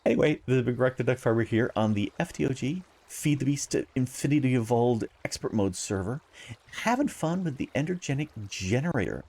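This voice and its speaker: A man with a raspy early-morning voice, giving casual gameplay commentary as host, upbeat and chuckling often while he rambles through explanations. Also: mid-range voice, darkish tone.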